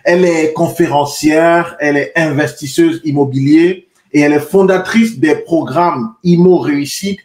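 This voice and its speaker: A man hosting a podcast interview, speaking with earnest, formal warmth and many repetitions as he introduces and questions his guest. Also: on the high side, moderate pace, few pauses. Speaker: podcast host. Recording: echoey room.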